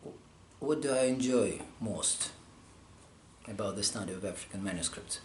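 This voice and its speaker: A man from Russia, a scholar answering interview questions, pausing often as he searches for his words. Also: lowish voice, darkish tone. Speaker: scholar interviewed about manuscript studies. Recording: roomy echo, faint background hum.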